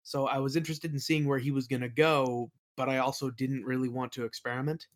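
A man's voice: medium pitch, flat delivery, fairly quick. A man speaking as an interview guest, explaining things in a casual, reflective way. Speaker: interview guest, game world builder.

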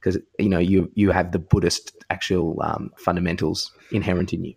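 A man's voice: low voice, flat delivery, fairly quick, creaky, husky. A young Australian man co-hosting a comedy podcast with blunt, teasing banter and comic rants. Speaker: podcast co-host. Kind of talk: casual comic banter and ranting.

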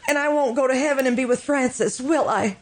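A high, fervent voice from an actress playing a morbid young widow in an old-time radio melodrama. She is consumed by grief and pleads insistently, with a theatrical, obsessive intensity. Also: a little fast.

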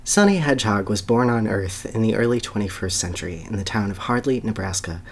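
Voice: mid-range voice, a little hiss or hum. A podcast host talking casually and reading a story aloud as a dramatic reading.

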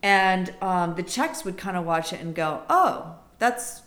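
A woman's voice: on the low side, unhurried. Chatty woman telling theater history. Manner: animated storyteller with many fillers. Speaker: former theater company director. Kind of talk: casual conversation.

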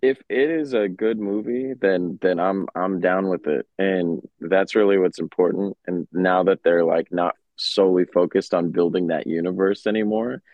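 Low-voiced laid-back man, dry, slightly dull sound. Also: steady tone, normal speed, few pauses, dry recording. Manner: laid-back, hesitant with fillers. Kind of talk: casual chat.

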